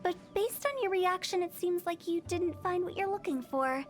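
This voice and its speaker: A woman's voice: extremely high pitch, moderate pace, soft background music. A woman voicing an eccentric, cheerfully morbid young funeral director in a game, with playful teasing. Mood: breezy, teasing. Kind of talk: video game character acting.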